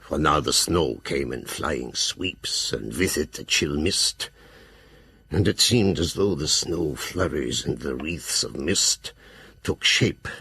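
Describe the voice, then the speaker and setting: An old vampire-hunting professor in a gothic audio drama. His voice is extremely low and badly rasped. He narrates his journal slowly and gravely, full of dread. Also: a bit bright, full character voice. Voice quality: gravelly, husky.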